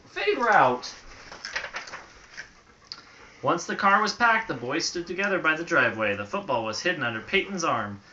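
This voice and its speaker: Dad reading a storybook, lively pitch. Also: male voice, dull audio. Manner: pauses to answer a small child pointing at pictures. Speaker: father reading a bedtime story. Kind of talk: reading a picture book aloud to a child. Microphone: phone. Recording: noisy home phone recording.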